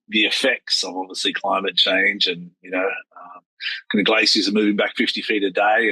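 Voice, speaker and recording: Chatty middle aged guy hosting, talks fast, husky voice, really dry sound. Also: on the low side, pushed and bright, no echo, rough recording. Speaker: interviewer, yacht captain host. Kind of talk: casual interview chat.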